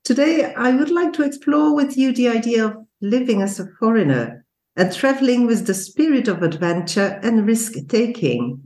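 A woman's voice: a little high, animated, normal speed, a little mellow. Podcast host woman, roomy sound. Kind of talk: scripted intro then interview. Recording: roomy echo.

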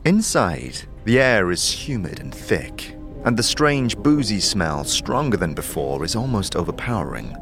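Low-voiced male narrator over prominent music. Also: sing-song, vocal fry, a bit bright. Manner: measured, dramatic storytelling. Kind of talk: scripted second-person fantasy audio drama narration. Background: background music.

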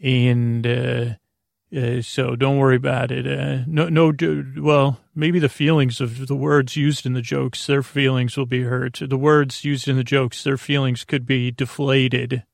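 Gravelly, rambling American man, bedtime podcast host. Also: mid-range voice, little pitch movement, dry recording, clean studio sound. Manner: meandering, halting, stumbles and trails off mid-thought. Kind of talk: rambling bedtime monologue to help listeners sleep.

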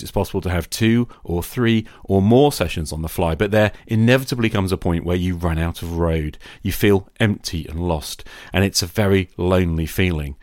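A middle-aged man hosting a podcast, delivering a reflective scripted monologue in a measured, confessional manner. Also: low-pitched, a little mellow, no echo, clean studio sound. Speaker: podcast host.

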